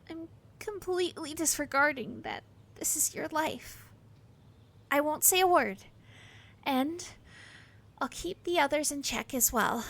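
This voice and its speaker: An actress playing a warm, chatty young palace worker with a romantic streak, eager and sympathetic, in an audio drama. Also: female voice, high voice, full character voice. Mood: contrite, reassuring.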